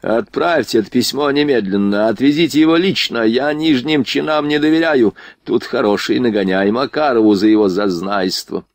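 A man's voice: mid-range voice, moderate pace, few pauses, no room sound, clean studio sound, close to an everyday voice. A man voicing a drunken, arrogant grand duke in an audiobook, imperious, contemptuous and bullying. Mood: scornful. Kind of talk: audiobook character dialogue.

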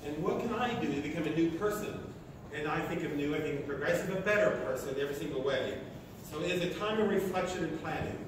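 In a big, very echoey lecture hall with clear background noise, an American man of sixty addresses an audience. His voice is on the high side for a man. He gives a motivational talk in short, emphatic phrases. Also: expressive, fast talker, few pauses. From Oklahoma, United States. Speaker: speaker at a sustainability meeting. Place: large lecture hall, indoors. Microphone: distant camera at the back of the room. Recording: echoey hall from far off, noisy.

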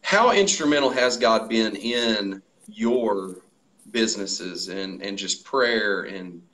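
A man's voice: lowish voice, slow, fairly bright tone, low-quality recording. Upbeat male host on a video call. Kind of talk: casual interview talk. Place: small room indoors. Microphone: video call with earbuds.

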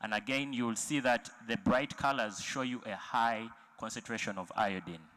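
A man giving a technical presentation at a conference, halting, with frequent fillers. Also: mid-range voice, sharp tone, low-quality recording. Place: large conference hall.

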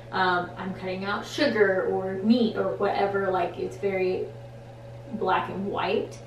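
A young woman in her twenties chatting casually to camera in a small bedroom, relaxed and full of ums and likes. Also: mid-range voice, vocal fry, echoey room, slight background noise, rough recording. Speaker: vlogger. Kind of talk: casual vlog chat to camera. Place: small wood-paneled bedroom, indoors.

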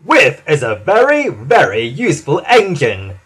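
A man's voice: on the high side, wildly swooping pitch, measured pace, fairly bright tone, breathy edge, full character voice. A man voicing a haughty, impatient steam engine with a pompous, huffy manner in a children's storybook. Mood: impressed.

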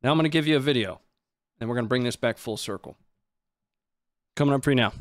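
A man's voice: medium pitch, fast talker, no echo. A man in his thirties giving casual live commentary on footage as a stream host, speaking into a close desk microphone. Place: small indoor studio. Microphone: close desk microphone on boom arm.

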